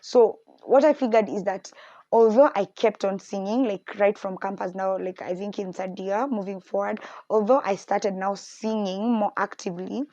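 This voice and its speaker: A woman's voice: mid-range voice, normal speed, no room sound, lo-fi sound. A young woman hosting a podcast, talking candidly and reflectively about faith and her insecurities. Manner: candid, reflective, animated when quoting others. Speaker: podcast host. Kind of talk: personal faith talk.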